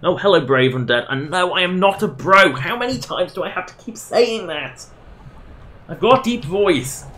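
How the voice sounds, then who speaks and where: A man's voice: high voice, animated, moderate pace, a bit bright. A man doing casual live game commentary, rambling and self-deprecating as he chats to his viewers. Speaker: game livestreamer.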